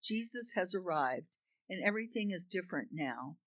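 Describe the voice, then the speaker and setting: A woman giving a calm, deliberate scripted reading. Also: on the low side, dry recording. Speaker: reader.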